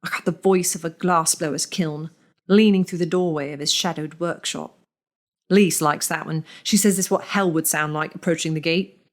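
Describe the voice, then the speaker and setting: A woman narrating a first-person story in a measured, deliberate reading with lingering pauses. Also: sits low, steady tone.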